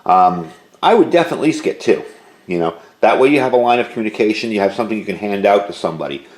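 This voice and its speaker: A man's voice: fairly low pitch, animated, racing, darkish tone. A man giving a casual, informal product review, explaining details as he goes, recorded indoors at home. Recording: roomy indoor echo.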